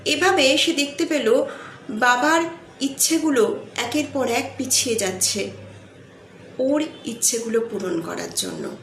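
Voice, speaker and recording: A woman telling a sentimental motivational story in an earnest, deliberate way, pausing between phrases. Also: fairly high pitch, very animated, creaky, a bit bright. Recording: echoey room, faint background noise.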